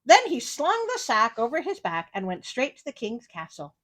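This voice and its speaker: A woman's voice: a little high, huge pitch swings, normal speed, fairly bright tone. A woman reading a fairy tale aloud as a storyteller, breaking off for casual, sardonic asides. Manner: sardonic, wry commentary. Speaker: storyteller, host of a story show.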